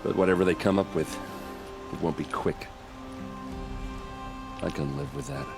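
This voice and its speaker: An actor playing a blunt, self-deprecating warrior captain in a video game cutscene, talking in a casual, wry way. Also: male voice, deep voice, lively intonation, moderate pace, creaky, over music, exaggerated voice. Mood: grim, dry.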